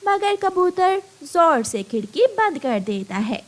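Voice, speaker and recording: A woman narrating a children's story in an expressive, scripted storytelling style. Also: very high-pitched, slow pace.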